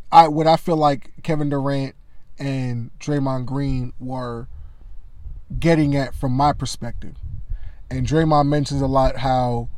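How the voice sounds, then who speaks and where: A man with a loud voice giving casual, conversational commentary on sports media, often adding "you know what I mean.". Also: fairly high pitch, no echo.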